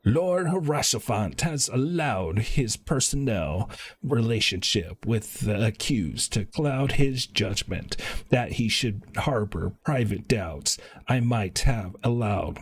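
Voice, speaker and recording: A man live-streaming a video game, reading quest dialogue aloud in a halting way and stumbling over words. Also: medium pitch, animated, slightly rough.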